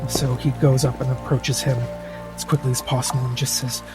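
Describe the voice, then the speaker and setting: A man's voice: medium pitch, level tone, fairly quick. A man playing a tabletop game, describing his character's actions in an understated, tentative way. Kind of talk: roleplay narration with in-character lines. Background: music and rain sounds.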